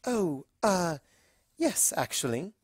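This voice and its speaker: A woman's voice: lowish voice, big pitch swings, takes it slowly, slightly rough, no room sound, studio quality, full character voice. A woman voicing a refined, easily embarrassed ladylike cartoon pony with genteel, delicate manners. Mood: polite, discreet. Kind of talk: acted fan fiction dialogue.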